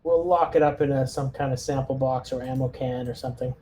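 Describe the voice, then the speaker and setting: A man's voice: medium pitch, steady tone, brisk, dark tone. A man chatting casually as a player in a tabletop roleplaying game, thinking aloud in a tentative way. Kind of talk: casual in-character group chat. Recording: home recording with faint noise.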